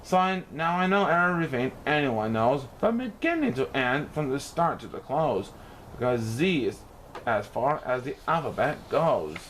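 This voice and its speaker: A man's voice: medium pitch, sing-song, normal speed, a bit bright, slight background noise. A man reading a children's picture book aloud in rhyme, with theatrical, playful emphasis. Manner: theatrical, playful rhyming delivery with exaggerated emphasis. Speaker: storybook reader.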